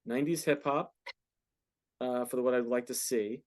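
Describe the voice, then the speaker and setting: A man's voice: medium pitch, steady tone, normal speed, darkish tone. A man in his forties answering questions informally as a guest speaker in front of a class. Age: 40s. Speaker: guest speaker, entertainment business founder.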